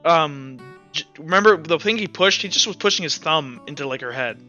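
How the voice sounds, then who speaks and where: A man's voice: on the high side, lively intonation, brisk, sharp tone, highs cut off, faint music behind. A man casually running a tabletop roleplaying game as game master.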